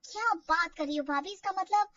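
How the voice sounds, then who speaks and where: Woman voicing an excitable, very high-pitched young sister-in-law. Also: a little fast, no room sound, recorded from a distance, muffled recording. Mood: eager, admiring. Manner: gushing, exclamatory.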